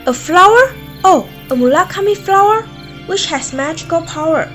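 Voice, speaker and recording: A woman playing an excitable, fawning fairy servant in an amateur radio drama. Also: very high-pitched, normal speed, faint music behind. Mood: excited, knowing. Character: eager fairy servant, flattering and mischievous.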